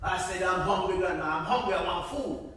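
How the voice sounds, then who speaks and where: A man voicing a spoiled, rude young girl who whines loudly and demands her way. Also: high-pitched, little pitch movement, normal speed, slight background noise, voice pushed up. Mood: demanding. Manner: whiny, loud, defiant. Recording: very echoey from far off.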